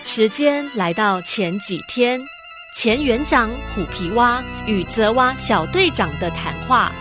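A woman's voice: on the high side, lively intonation, a little slow, music in the background. A woman narrating a scripted children's radio drama as a storyteller.

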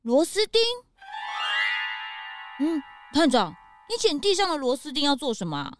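Very high, whiny little cartoon sidekick. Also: female voice, wildly swooping pitch, soft background music. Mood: curious, amazed. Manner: whiny, dramatic, drawn-out exclamations. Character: small, excitable, whiny peanut sidekick of a detective. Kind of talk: children's detective audio drama acting.

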